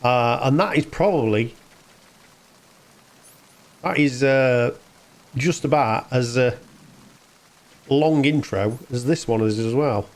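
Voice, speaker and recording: A man giving casual, halting gameplay commentary as host of a gaming video. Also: mid-range voice.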